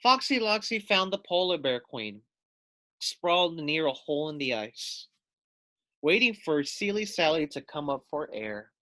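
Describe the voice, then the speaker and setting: A man reads a picture book aloud for young children. His delivery is slow and highly animated, and he addresses his listeners directly as a teacher would. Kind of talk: storybook read-aloud with questions for children.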